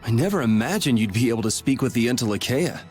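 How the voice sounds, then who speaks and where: Man acting as young male leader, easygoing, dry, medium pitch, anime game, music behind. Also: expressive, fast, darkish tone, natural voice. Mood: casual, dry.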